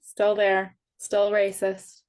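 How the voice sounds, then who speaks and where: A woman's voice: mid-range voice, monotone, takes it slowly, a little mellow, close to an everyday voice. A woman reading the part of a guarded, principled sixteen-year-old emo shop worker, blunt and dry, in a drama table read. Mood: dry, challenging.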